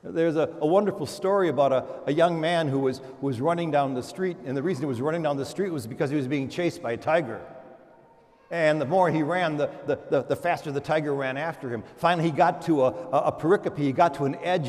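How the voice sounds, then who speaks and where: A man's voice: fairly high pitch, quick pace, darkish tone. An older American man, a priest, delivering a homily with storytelling, emphatic repetition and rhetorical questions in a church. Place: church, preaching to a congregation. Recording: reverberant church hall.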